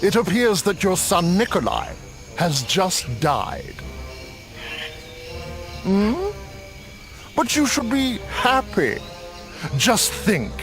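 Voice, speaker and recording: An actor playing a sly old necromancer in a video game, mockingly courteous and gleefully macabre, with a theatrical drawl. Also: male voice, sits high, exaggerated voice. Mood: gloating, mock-sweet. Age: older. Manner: theatrical, drawling, mock-sweet. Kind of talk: video game cutscene acting.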